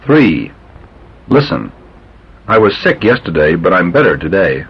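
A man with a low, slightly husky voice reads English drill sentences with careful, deliberate enunciation. His pitch moves a lot within each line. The sound is the muffled tone of an old language tape. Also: very animated.

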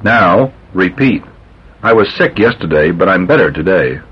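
A man reading English drill sentences for a language tape, enunciating slowly and deliberately. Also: low-pitched, lively intonation, husky. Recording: dull old language tape.

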